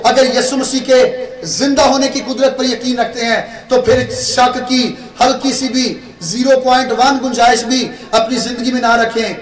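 A young man from Pakistan preaching a sermon as pastor, with a Pakistani Urdu accent, asking the congregation rhetorical questions and stretching his words for emphasis. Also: very high-pitched. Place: small church room with red curtain, indoors. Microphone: stand mic at pulpit. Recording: echoey room, voice from far off.

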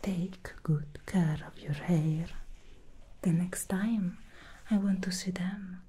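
A woman voicing an alluring, scheming female demon hairstylist in an ASMR roleplay, speaking in a slow seductive whisper. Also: lowish voice, fairly even intonation, dark tone, slightly rough, heavily acted. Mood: sly whisper.

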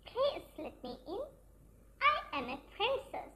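A storyteller as a plaintive young princess, very high-pitched. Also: sing-song, takes it slowly, bright, forceful tone, slight background noise, full character voice. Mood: pleading. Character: tired, drenched young princess, earnest and polite.

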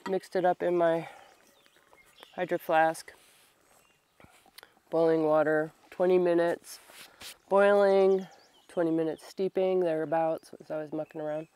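A young woman talking casually to herself while she works at a grassy campsite, slow and thinking aloud, a little congested from a long cold. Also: low voice, fairly even intonation, dark tone, clean tone. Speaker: bushcraft vlogger. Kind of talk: casual talk while working. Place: outdoors at a grassy campsite under a tarp. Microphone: camera on a tripod a few meters away.

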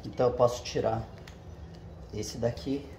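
A man walks his viewers through a hands-on job one step at a time, pausing often to check that they are following. His voice sits at an ordinary pitch. It rings off the bare walls of a garage, over a faint background hum. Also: steady tone, dark tone. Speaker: channel host. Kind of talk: casual how-to demonstration. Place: home garage with the door open. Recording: echoey garage.

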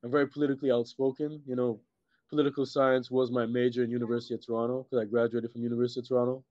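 A middle-aged man from Trinidad and Tobago chatting casually as an interview guest, halting and repeating words, with many "you know"s. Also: mid-range voice, level tone, a little mellow, dry recording. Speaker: guest, filmmaker and musician. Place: indoors on a video call. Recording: muffled, dull video-call audio.

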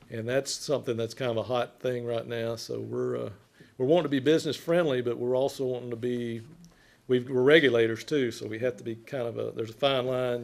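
An older man speaks in a loud, fairly ordinary-pitched voice with plenty of gravel in it. He presents to a committee as a city inspector would, informally and in folksy, plain-spoken terms. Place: wood-panelled council chamber.

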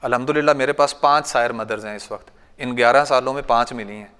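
A man's voice: medium pitch, steady tone, fast, bright, forceful tone, smooth. A man in his thirties from Pakistan, with a Punjabi accent, gives a casual, story-filled workshop talk on goat farming to a seated audience. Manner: conversational, anecdotal, recites couplets and quotes elders. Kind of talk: informal training talk. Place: large bright training hall with a seated audience. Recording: reverberant hall.

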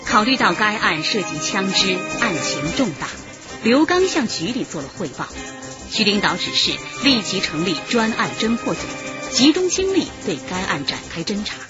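Female narrator actress for police radio drama: serious, expressive mid-pitched delivery, music underneath. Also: moderate pace, natural voice. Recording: dull sound under loud music.

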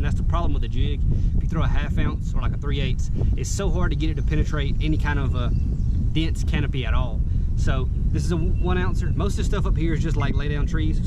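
A man in his twenties with a Southern US accent, chatting casually and folksily as a fishing vlogger, recorded outdoors. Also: fairly high pitch. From Alabama, United States. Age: 20s. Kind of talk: casual vlog chat and commentary. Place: outdoors on a small boat on a river. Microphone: action camera, chest-mounted or at arm's length. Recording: noisy outdoor boat recording.

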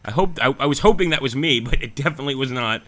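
A man's voice: mid-range voice, expressive, quick pace, pushed and bright. A man hosting a gaming channel, talking casually over gameplay and answering live chat comments.

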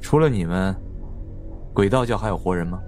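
A man acts a stern male victor, condescending as a schoolmaster scolding pupils. His low voice moves with lively inflection over music. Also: brisk. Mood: cold questioning. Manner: lecturing like a teacher.

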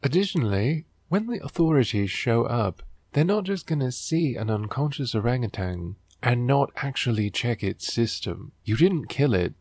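A man's voice: mid-range voice, animated, moderate pace, few pauses, darkish tone, slightly rough, no room sound. A man hosting a book riffing show, reading aloud and commenting in a sarcastic, wry, exasperated way.

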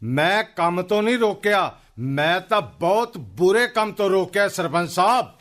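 A man's voice: high-pitched, expressive, moderate pace, a bit bright. A man playing an earnest, principled Punjabi villager who admonishes others in a radio play. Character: earnest, principled male villager pleading against crop burning.

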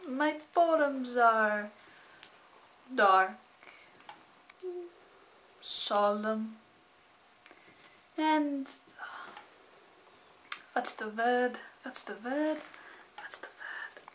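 A woman playing a solemn female vampire lawyer in improvised comedy, speaking in a slow, drawn-out, theatrical way. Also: fairly high pitch, expressive, bright, forceful tone, a little hiss or hum, exaggerated voice.

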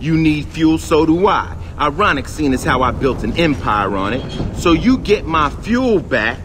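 An actor playing a cocky, menacing gang boss in a video game, smug and taunting in manner. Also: male voice, on the high side, sing-song, a little slow, noisy background. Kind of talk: video game cutscene acting.

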